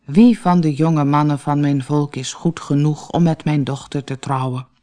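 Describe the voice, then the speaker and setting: A storyteller voicing a proud, stubborn chief and protective father in a fairy tale, commanding and quick to take offence. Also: mid-range voice, steady tone, mellow, natural voice.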